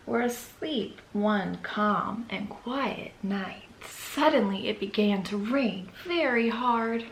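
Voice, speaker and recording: A woman's voice: mid-range voice, a little hiss or hum. A woman hosting a read-aloud for children, narrating a storybook. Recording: echoey room.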